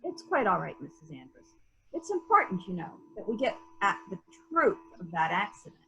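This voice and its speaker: Casting: actress as courteous, persistent investigator in a mystery drama, bright and animated, slightly noisy audio. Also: female voice, mid-range voice, moderate pace, creaky, heavily acted. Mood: polite, insistent. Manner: gently questioning, courteous. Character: patient, probing, polite investigator of a death. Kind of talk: dramatic reading of murder mystery.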